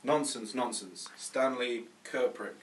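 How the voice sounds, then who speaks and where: A man playing a creepy, lecherous film director, flattering and theatrical, with oily, suggestive delivery in a comedy table read. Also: medium pitch, recorded from a distance, noisy background, natural voice. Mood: smug, brisk. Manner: oily, suggestive, drawn-out approval with chuckles.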